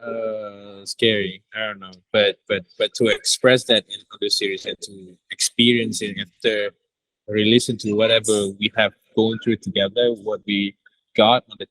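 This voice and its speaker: A man, recorded very dry. He talks casually as an interviewed director, in halting phrases, repeating words for emphasis. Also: fairly low pitch, flat delivery, fairly bright tone, no echo, poor audio. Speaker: director interviewed about an audio drama.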